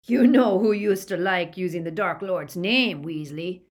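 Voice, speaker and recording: Woman acting a male werewolf villain, rasping growl, slow, menacing, gloating, audiobook. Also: on the low side. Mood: gloating menace. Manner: menacing, snarling.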